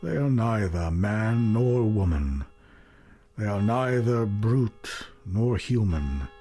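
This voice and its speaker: A man's voice: on the low side, lively intonation, slow pace, warm tone, slightly rough. A man giving an intense, theatrical poetry recitation, drawing out repeated words. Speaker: poetry reader. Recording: music playing behind the voice. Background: music.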